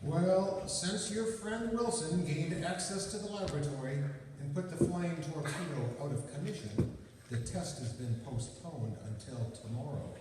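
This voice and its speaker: A man playing a calm, worldly gentleman detective in an old-time radio drama, measured and explanatory. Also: fairly high pitch, very noisy, close to an everyday voice. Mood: calm, knowing. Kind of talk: 1940s radio drama acting. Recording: echoey hall from far off.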